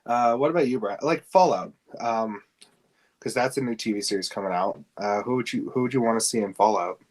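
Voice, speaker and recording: Male podcast host with an average-pitched voice, chatty and inquisitive, hesitant in phrasing. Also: a little fast, a little mellow. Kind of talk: casual podcast chat.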